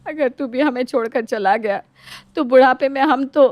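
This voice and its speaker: A woman's voice: sits high, normal speed, no room sound, muffled recording, close to an everyday voice. A woman voicing a remorseful aged parent in a moral story, apologetic and pleading. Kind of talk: dramatic moral-story acting.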